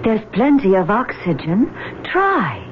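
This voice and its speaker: An actress playing a composed, commanding woman guide from Atlantis in an old radio drama, speaking formally and with measured dignity. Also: medium pitch, huge pitch swings. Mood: calm, commanding. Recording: dull, noisy old radio recording.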